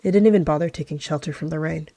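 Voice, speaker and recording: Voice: mid-range voice, very fast, dark tone, dry recording. A roleplayer narrating a story for a game in the third person.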